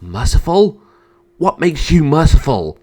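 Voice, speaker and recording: A man's voice: on the high side, sing-song, measured pace, dark tone, pitched above the performer's own voice. A man voicing a brave, distressed young woman, the sister of emperors, in an emotional, pleading manner. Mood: challenging. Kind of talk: amateur dramatic storytelling.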